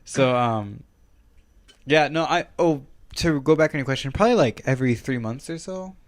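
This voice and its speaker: A man's voice: medium pitch, expressive, moderate pace. A young man co-hosting a podcast, chatting casually and swearing freely, recorded on a studio mic in a small room. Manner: laid-back, swears freely, storytelling. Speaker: podcast co-host. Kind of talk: casual chat. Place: small room with wood-panel wall. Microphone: studio mic on stand, headphones.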